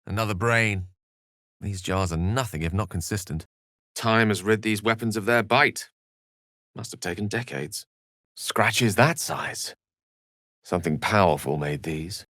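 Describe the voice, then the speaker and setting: A man's voice: on the low side, unhurried, long pauses, fairly bright tone, no room sound, clean studio sound. A man voicing an articulate, bookish human wizard in a video game, wry and gallant, with theatrical, wordy delivery. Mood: wry, musing. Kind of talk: video game character acting.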